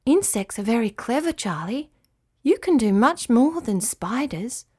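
A woman voicing a kindly, proud female spider in a children's story, quiet and whispery, explaining things gently and patiently. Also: on the high side, sing-song, measured pace, pitched above the performer's own voice. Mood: reassuring.

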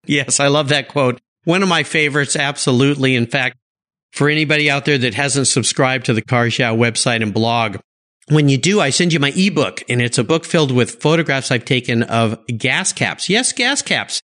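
A man's voice: mid-range voice, lively intonation, moderate pace, fairly bright tone. A man from San Diego hosting a car podcast, eagerly praising and questioning his guest. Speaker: podcast host. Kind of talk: interview podcast.

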